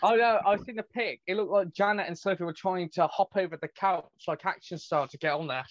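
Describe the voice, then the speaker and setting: Young man, 20s, excited podcast chatter, slightly high voice, dull dry lo-fi sound. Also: no echo. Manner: excited, rapid fan chatter. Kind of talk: casual podcast chat.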